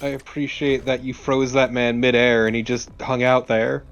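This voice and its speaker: A man's voice: mid-range voice, level tone, moderate pace, highs cut off, slight background noise. A man in casual banter as a let's play co-commentator, teasing and sarcastic, with some swearing. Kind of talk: casual banter over gameplay.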